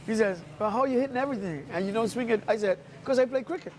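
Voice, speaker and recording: A man's voice: extremely high pitch, rapid-fire, slight background noise. A man chatting casually as an interview guest, telling anecdotes and repeating phrases for emphasis. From Bermuda. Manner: animated anecdotes, quotes people, repeats phrases. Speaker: interview guest, restaurant owner. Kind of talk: casual storytelling chat.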